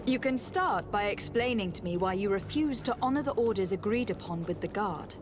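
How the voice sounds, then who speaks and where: A woman's voice: mid-range voice, animated, fairly quick, noisy background, close to an everyday voice. An actress playing a poised, commanding noblewoman diplomat in a video game, speaking formally and articulately. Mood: composed, firm. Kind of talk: scripted video game dialogue. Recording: music behind the voice.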